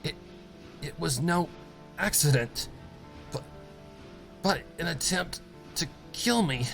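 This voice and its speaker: A man voicing a shaken, groggy young female pegasus, breathless and coughing, with halting, stammering speech. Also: on the high side, lively intonation, creaky, a bit bright, soft background music, pitched above the performer's own voice, heavily acted. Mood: shaken, accusing.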